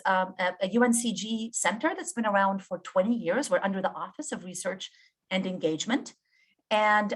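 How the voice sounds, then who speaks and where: Effusive woman speaking on a video call. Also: mid-range voice. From Jordan. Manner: warm, effusive, with frequent ums. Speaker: guest speaker, professor.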